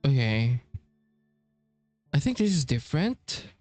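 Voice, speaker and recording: Male game streamer: slow, unhurried, hesitant, thinking out loud, faint music behind. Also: mid-range voice, sing-song, no room sound. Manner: hesitant, musing aloud. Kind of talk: casual playthrough commentary.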